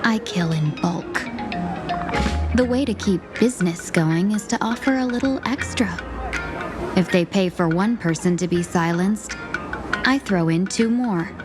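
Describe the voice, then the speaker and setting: A woman voicing a poised young fox-girl assassin in a game, calm, measured and coolly menacing, over loud background music. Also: medium pitch, natural voice. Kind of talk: game voice acting.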